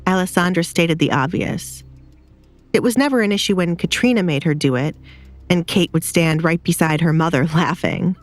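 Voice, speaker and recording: A woman narrates a scripted romantic audio drama. Her voice sits fairly low, with music playing underneath. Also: moderate pace.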